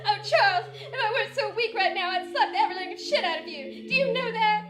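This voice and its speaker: A woman's voice: extremely high pitch, fairly quick. A woman playing an overwrought, sardonic wife in a parody of 1940s radio drama. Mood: accusing, overwrought. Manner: overwrought, theatrical. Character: hysterical melodramatic 1940s wife, Patricia, with a sardonic streak. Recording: roomy echo under loud music.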